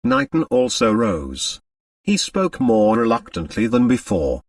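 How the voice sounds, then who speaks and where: Male audiobook narrator for fiction, expressive, varied intonation, mic set slightly back. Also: medium pitch, a little slow, creaky, no room sound, clean studio sound, far from the mic. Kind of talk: scripted narration of a novel.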